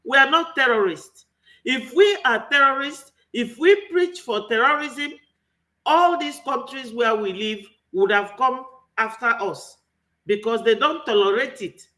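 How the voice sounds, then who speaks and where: A man's voice: very high voice, animated, unhurried, bright, forceful tone, poor audio. A man with a Nigerian accent giving an impassioned political commentary, full of rhetorical questions. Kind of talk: online political commentary monologue.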